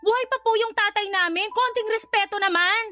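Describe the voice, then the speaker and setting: Dutiful young Filipino daughter for radio drama: extremely high pitch, earnest, protesting. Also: female voice, brisk, bright, forceful tone, dry recording. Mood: indignant. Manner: respectful, earnest, readily protesting. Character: polite but indignant young adult daughter. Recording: music behind the voice.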